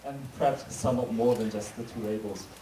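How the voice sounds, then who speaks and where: A young man from New Zealand giving a reflective, measured spoken-word monologue on a school stage. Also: fairly low pitch, fairly even intonation. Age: young adult. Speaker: student speaker. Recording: echoey hall recorded from far off, noisy.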